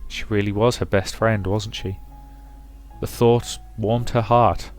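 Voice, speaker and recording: A man's voice: lowish voice, steady tone, slow, a little mellow, faint music behind. A man reading a visual novel aloud as narrator and host of a let's play.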